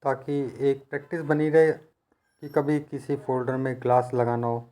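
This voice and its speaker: A man's voice: medium pitch, fairly even intonation, moderate pace, mellow, faint music behind. Patient man explaining hands-on repair steps. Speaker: phone repair technician giving a tutorial. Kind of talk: hands-on instructional narration, conversational.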